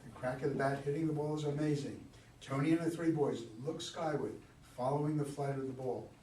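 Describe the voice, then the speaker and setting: A man's voice: a little high, brisk, dark tone. A man reading stage directions as narrator at a staged play reading. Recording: echoey room, far from mic, background noise.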